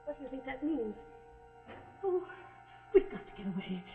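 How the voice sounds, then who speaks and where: A woman's voice: high-pitched, big pitch swings, normal speed, soft background music. An actress playing a frightened, unstable stranded woman, pleading and menacing, in 1940s suspense television style. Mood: anxious, pleading. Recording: tinny, band-limited 1949 television soundtrack.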